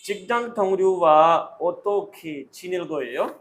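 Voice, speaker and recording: A man's voice: high voice, takes it slowly. A man acting as a Korean exam interviewer, giving short instructional commands and set questions.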